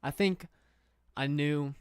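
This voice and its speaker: A man's voice: on the high side, takes it slowly, clear, resonant tone, dry recording, studio quality. A young man from England chatting casually on a livestream from his room, rambling with fillers and reading viewer questions aloud. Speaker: streamer.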